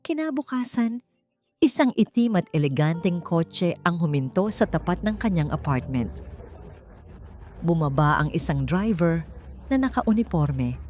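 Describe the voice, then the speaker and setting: Low-voiced woman narrating drama. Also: big pitch swings, measured pace, darkish tone. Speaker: story narrator. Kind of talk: scripted dramatic narration of a love story. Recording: music behind the voice. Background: background music.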